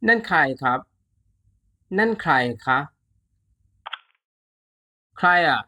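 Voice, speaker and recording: Bright, very slow language teacher. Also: medium pitch, expressive, a little hiss or hum. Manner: deliberate enunciation, repeating phrases syllable by syllable. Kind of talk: scripted language lesson, phrase by phrase with translations.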